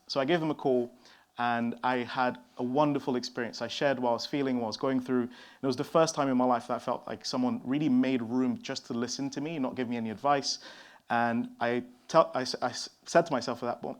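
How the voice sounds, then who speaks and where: A man from São Tomé and Príncipe giving a conference talk on stage, relaxed and full of fillers and restarts. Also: mid-range voice. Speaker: conference speaker. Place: conference stage before an audience.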